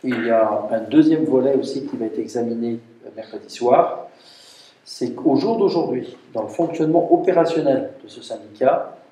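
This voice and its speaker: A man gives an explanatory council briefing in a mellow, middle-pitched voice, repeating points for emphasis. He sounds some distance from the mic in a roomy space, with faint noise and clicks. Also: moderate pace. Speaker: mayor chairing a municipal council meeting. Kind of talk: formal council briefing, spoken off the cuff. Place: council meeting room.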